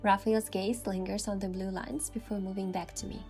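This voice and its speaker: A woman's voice: mid-range voice, level tone, moderate pace, a little mellow. A woman reading scripted first-person story narration, with music behind her voice.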